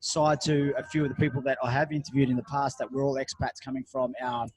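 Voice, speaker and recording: A man's voice: mid-range voice, little pitch movement, fast, darkish tone, poor audio. A man hosting a podcast in a cafe, chatting loosely with many fillers and restarts. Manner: rambling, lots of fillers and restarts. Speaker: podcast host. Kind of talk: casual interview chat.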